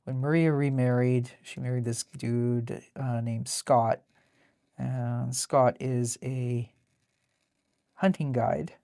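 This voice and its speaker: A woman with a deep contralto voice chatting casually and in a rambling way as she hosts a live drawing stream. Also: steady tone, takes it slowly, dark tone, dry recording, clean studio sound. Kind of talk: casual chat while drawing.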